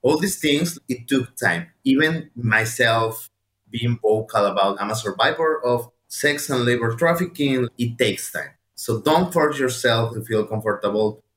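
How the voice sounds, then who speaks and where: A man's voice: mid-range voice, measured pace, fairly bright tone. A man with a Mexican accent, a native Spanish speaker, giving personal testimony in an earnest, direct way with emphatic repetition. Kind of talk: personal testimony and encouragement, addressed to listeners. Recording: roomy, echoing sound.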